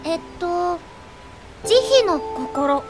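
A woman's voice: very high-pitched, very slow, music in the background, heavily acted, low-quality recording. An actress voicing a curious, innocent little girl in a radio drama, asking wide-eyed questions. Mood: hesitant, wondering.

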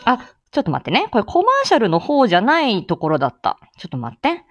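A woman's voice: on the high side, wildly swooping pitch, fast talker, a bit bright, no room sound, faint music behind. A woman hosting a casual morning livestream, chatting in a giggly, exclaiming way. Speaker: live audio show host.

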